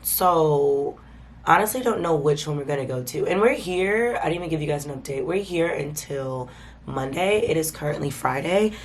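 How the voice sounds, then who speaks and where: A woman's voice: low voice, lively intonation, moderate pace, slight background noise. Stuffy-nosed woman chatting to camera. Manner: chatty, informal, addresses viewers as y'all. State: stuffy, congested from a cold. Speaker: vlogger host. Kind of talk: casual vlog chat to camera.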